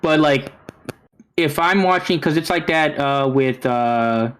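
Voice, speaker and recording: A man's voice: on the high side, takes it slowly, fairly bright tone, clear, resonant tone. A man co-hosting a casual podcast chat. He rambles and hedges, with many fillers. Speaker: podcast co-host.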